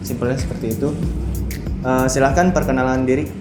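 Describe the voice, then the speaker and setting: A man's voice: medium pitch, steady tone, brisk. A man hosting an interview in a casual way. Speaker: interviewer, host. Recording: roomy echo, music bed.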